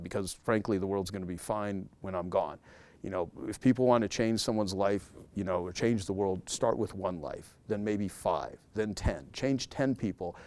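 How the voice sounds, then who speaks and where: A man's voice: on the low side, a little mellow. A man speaking as a guest in a casual interview, talking emphatically and repeating key words to drive a point home.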